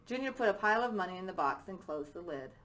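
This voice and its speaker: A woman's voice: lowish voice, animated, fast talker, fairly bright tone, low-quality recording. A woman teacher reading a children's story aloud as a narrator.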